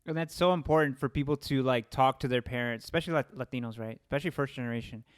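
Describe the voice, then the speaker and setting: Clear, dry-sounding American man in his thirties. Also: medium pitch, quick pace, dry recording. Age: 30s. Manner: informal, emphatic, seeks agreement with "right?" and "you know". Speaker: interviewer, host. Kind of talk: casual conversational interview.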